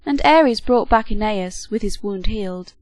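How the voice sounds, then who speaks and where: A woman narrating a storybook tale in a steady, clear reading. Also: medium pitch, a little slow, no room sound. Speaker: reader.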